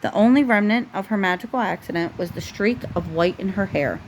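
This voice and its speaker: A woman's voice: mid-range voice, a little fast, low-quality recording. A woman with a mid-range voice reads a bedtime storybook aloud as a parent. It is a home recording with light noise behind her.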